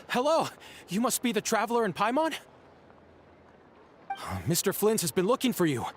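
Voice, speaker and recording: A man's voice: high-pitched, wildly swooping pitch, normal speed, slight background noise. An actor playing a polite adult townsman in a video game who brings urgent news with weary sighs.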